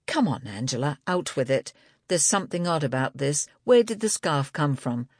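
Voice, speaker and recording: A woman's voice: deep voice, expressive, normal speed, darkish tone, no room sound, studio quality. A woman narrator voicing an inquisitive, friendly amateur woman sleuth in an audiobook. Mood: curious.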